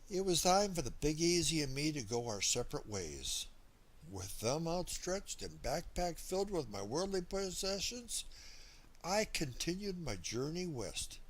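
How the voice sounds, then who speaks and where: Male audiobook narrator, highly expressive swinging intonation, slightly high voice, first-person memoir storytelling. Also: a little slow, poor audio.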